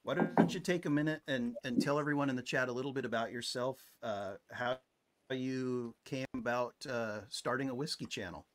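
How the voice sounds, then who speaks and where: A middle-aged man talks as a livestream host. His voice sits at an ordinary pitch, and his delivery is casual and conversational, like chat with regular viewers. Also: normal speed. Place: indoor room with decorated wall.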